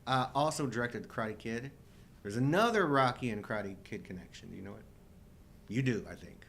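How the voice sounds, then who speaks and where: A man's voice: mid-range voice, a bit bright, a little hiss or hum. A man of about fifty co-hosting a casual film chat, joking and poking fun at himself. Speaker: co-host of a live show.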